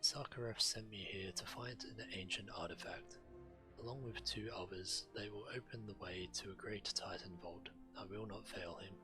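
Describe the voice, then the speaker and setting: A man with a fairly low voice narrates a game stream, reading quest text aloud and adding his own commentary. Clear game music plays behind him. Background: video game music.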